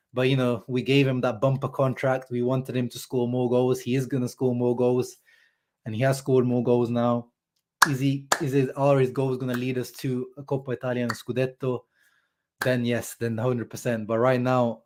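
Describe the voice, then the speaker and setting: A young man with a sore throat hosting a live football reaction stream, chatting casually and answering viewers' comments. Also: mid-range voice. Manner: animated, chatty, says "bro" and "like" a lot. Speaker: football fan channel host. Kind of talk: live-stream match reaction, reading chat.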